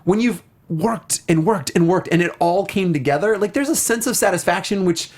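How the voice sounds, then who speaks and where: A co-host of a casual review show talks at a middle pitch. The delivery is chatty and emphatic, full of slangy asides and mock quotes. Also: animated, normal speed, a little mellow.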